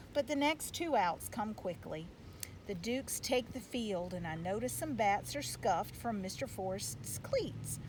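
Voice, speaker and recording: A woman narrates a children's storybook with expression. Her voice is on the high side, and clear noise sits behind her reading. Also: recorded from a distance, poor audio. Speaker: elementary school educator reading aloud.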